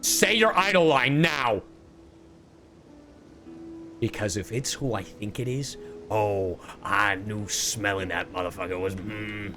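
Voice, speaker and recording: A man streaming a game playthrough with excitable, profane, playfully exasperated commentary. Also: lowish voice, slow pace, long pauses, music in the background. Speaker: streamer, VTuber.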